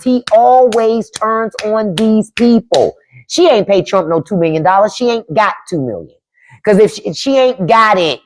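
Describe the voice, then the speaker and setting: Blunt, profane woman with a lively voice. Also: mid-range voice. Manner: blunt, profane, emphatic, reads and answers chat. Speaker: podcast host on a live stream. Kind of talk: casual livestream commentary.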